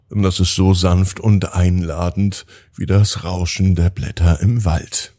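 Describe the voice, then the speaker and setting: Low, raspy man telling bedtime stories. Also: warm tone. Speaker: host of children's bedtime story podcast. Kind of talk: gentle bedtime storytelling narration.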